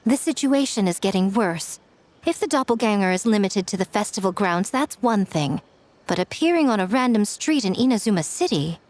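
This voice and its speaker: An actress voicing a sly, self-assured, playful schemer in an anime game, with a teasing, knowing manner. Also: female voice, medium pitch, lively intonation, normal speed, a little mellow, slight background noise, close to an everyday voice. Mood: uneasy.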